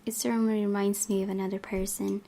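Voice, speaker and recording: Creaky-voiced woman reading game narration. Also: mid-range voice, little pitch movement, fast, mellow, slight background noise. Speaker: gaming channel host. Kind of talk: reading visual novel narration aloud with asides.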